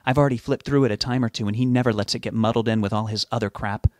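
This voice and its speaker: A man's voice: medium pitch, fairly even intonation, very fast, a little mellow, no echo, studio quality, natural voice. A man voicing a bossy, feisty wife in an audiobook, scolding yet kindly. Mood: kindly, hushed. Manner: snappish, scolding.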